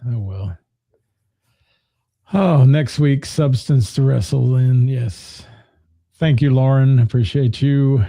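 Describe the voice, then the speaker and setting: Hoarse man, dark dry voice. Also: medium pitch, slow, pauses often, no room sound. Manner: joking, rambling, with restarts. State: losing his voice. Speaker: radio show co-host. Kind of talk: casual on-air chat, reading listener comments.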